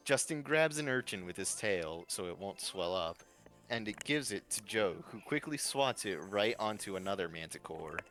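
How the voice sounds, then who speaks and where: Guy with a deep bright voice reading a story out loud, faint music behind. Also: animated, normal speed. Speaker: story narrator. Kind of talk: live scripted fan-story narration.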